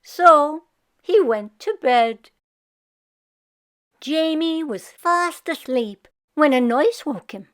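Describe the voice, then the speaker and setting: A woman reading a children's picture book aloud as an expressive storyteller. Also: high voice, slow, long pauses. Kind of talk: expressive scripted read-aloud narration for children.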